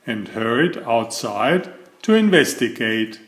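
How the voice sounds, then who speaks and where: A man narrates a children's storybook with measured expression. His pace is unhurried and his intonation lively. The room around him adds a clear echo. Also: medium pitch. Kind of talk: children's storybook read-aloud. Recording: roomy, echoing sound.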